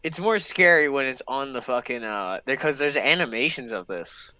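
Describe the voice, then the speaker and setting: Male reaction host, informal chatter, bright forceful tone, lively pitch swings. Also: normal speed, no room sound. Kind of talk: casual commentary.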